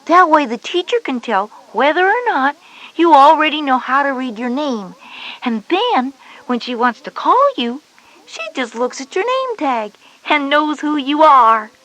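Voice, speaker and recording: A woman's voice: sits high, sing-song, a little slow, fairly bright tone, no echo, full character voice, poor audio. A woman playing a knowing, slightly bossy older-sister schoolgirl, explaining things emphatically in an educational audio story. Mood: helpful, chatty.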